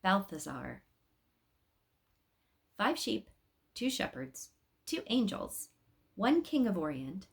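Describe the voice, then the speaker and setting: A woman's voice: fairly low pitch, a little mellow. A woman hosting a read-aloud, telling a children's story expressively and speaking straight to young listeners. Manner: addresses young listeners directly with questions.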